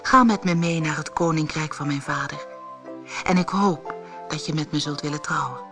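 A woman's voice: low voice, normal speed, over music, lightly acted. A woman reading the part of an earnest, lovestruck, pleading young prince in a storybook. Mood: devoted.

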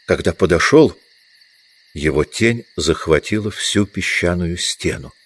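A man's voice: sits low, lively intonation, measured pace, slightly rough, dry recording. A man reading scripted literary narration for an audio drama.

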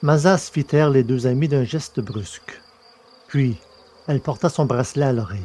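A man with a medium-pitched voice works as podcast host and narrator. He reads prepared text in a scripted storytelling style. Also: normal speed, dark tone.

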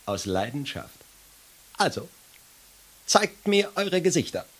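A woman's voice: deep voice, sing-song, slow pace, full character voice. A woman playing an obsessive photographer in a radio play, theatrically rapping out clipped commands. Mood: self-satisfied. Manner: exaggerated, clipped one-word commands. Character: theatrical, obsessive snapshot photographer ordering poses. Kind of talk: German radio play acting. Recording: clear noise with camera shutter clicks.

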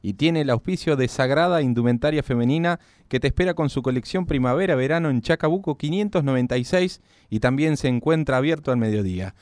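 A man's voice: mid-range voice, fast, a little mellow, no echo. A man with an Argentine accent reading scripted credits and sponsor copy as a radio announcer.

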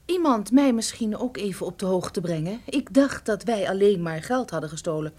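Radio-play casting: woman as detective's outspoken sidekick, lively swooping delivery, emphatic and exasperated. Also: medium pitch, moderate pace, lightly acted. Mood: indignant. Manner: colloquial, emphatic. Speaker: supporting actress. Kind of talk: radio detective drama acting.

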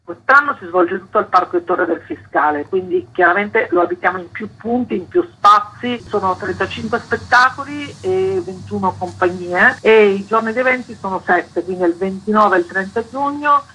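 Bright, husky-voiced woman. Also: medium pitch, normal speed, hardly any pauses, low-quality recording. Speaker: festival organiser being interviewed. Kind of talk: radio interview, explaining a programme.

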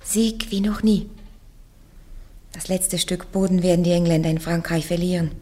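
A woman's voice: fairly low pitch, steady tone, moderate pace, dark tone, close to an everyday voice. An actress playing a young peasant girl soldier on trial, answering bluntly and defiantly in a classic radio play. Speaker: accused on trial.

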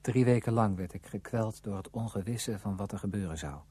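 An actor playing a lovelorn, brooding man narrating his memories in a radio drama, measured and literary. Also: on the low side, warm tone, husky.

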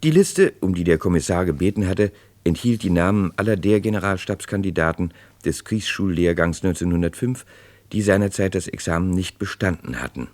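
A man narrating a true crime radio play as a sober storyteller, scripted and measured, building suspense. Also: low-pitched, animated, a little mellow. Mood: sober narration.

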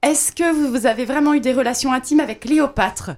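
A woman's voice: sits high, brisk, darkish tone. A woman co-host asking teasing questions with a giggly manner.

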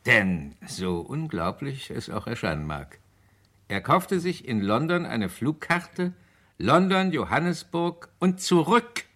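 An actor playing a confident British police inspector in a German radio crime drama, explaining methodically with deliberate emphasis. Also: male voice, medium pitch, sing-song, bright, forceful tone, heavily acted. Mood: satisfied, emphatic.

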